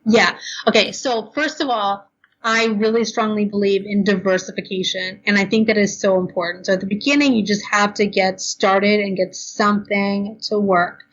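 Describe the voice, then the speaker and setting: Tired, talkative woman guest; casual, filler-heavy, a little hoarse, muffled remote audio. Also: medium pitch, steady tone. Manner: chatty, fast, lots of ums and you knows. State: tired, losing her voice. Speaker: blogger guest. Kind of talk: podcast interview, casual advice.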